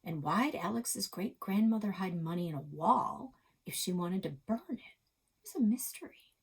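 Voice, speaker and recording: A woman reading a children's story aloud with dramatic, expressive delivery, as a library reader. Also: medium pitch, moderate pace, slightly rough.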